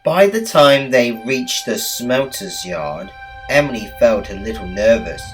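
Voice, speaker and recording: A man reading a children's story aloud as a read-along, in a deliberate, expressive storytelling manner. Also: medium pitch. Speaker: storybook narrator. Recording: music bed behind the voice. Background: music.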